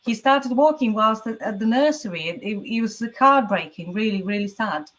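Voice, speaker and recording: A woman's voice: on the high side, expressive, normal speed, a bit bright, rough recording. A woman in her forties from the UK, a guest telling her story in a casual chat, chatty, with frequent laughs and some stammering.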